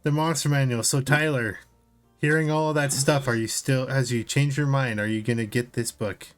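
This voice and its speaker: A man's voice: mid-range voice, steady tone, normal speed. Male co-host, mid-range voice, casual conversational delivery, faint music bed. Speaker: podcast co-host. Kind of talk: casual chat.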